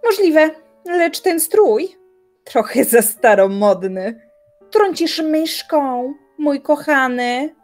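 An actress playing a proud young woman philosopher in an audio drama, trading sharp, sarcastic retorts. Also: high-pitched, animated, takes it slowly, faint music behind. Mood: scornful. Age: young adult. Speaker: voice actor.

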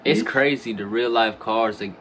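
A man's voice: on the low side, fairly even intonation, moderate pace, fairly bright tone. A young man giving casual, slangy reaction commentary full of swearing. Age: young adult. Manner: slangy, frequent swearing, informal address. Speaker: reaction video host. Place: small dim room at a gaming chair. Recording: noisy, game audio behind the voice.

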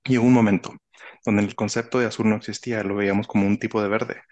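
A man in his thirties with a Mexican Spanish accent, explaining casually and reflectively, often halting and repeating phrases, on a headset in a video call. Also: fairly low pitch, little pitch movement, a little mellow. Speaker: author, interview guest. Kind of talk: casual conversational explaining with anecdotes. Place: indoor room, video call.

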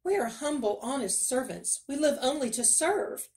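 A woman's voice: high voice, expressive, normal speed, darkish tone, far from the mic, voice pushed up. A woman voicing a flustered, servile male miller from a storybook, with a stammering, fawning manner. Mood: nervous fawning.

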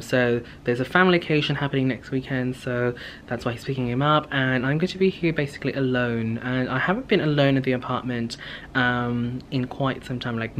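A man's voice: medium pitch, fairly bright tone. Casting: young male vlogger, chatty and informal, rambling delivery, recorded at home on a camera mic with background noise. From Hong Kong. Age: young adult. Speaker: vlogger, beauty content creator. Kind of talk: casual chatty vlog to camera. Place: indoors in a home living space. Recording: clear background room noise.

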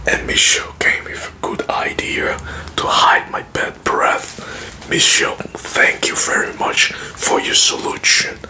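Male ASMR host, thirties. Breathy whisper throughout, very slow short phrases, close on the mic. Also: pushed and bright. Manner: slow, halting, short phrases with mouth sounds.

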